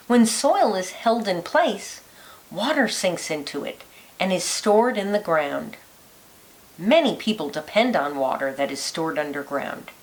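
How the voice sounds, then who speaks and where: Slow, lively woman reading to children. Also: mid-range voice, rough recording. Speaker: teacher reading a picture book aloud. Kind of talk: read-aloud of a children's nonfiction book.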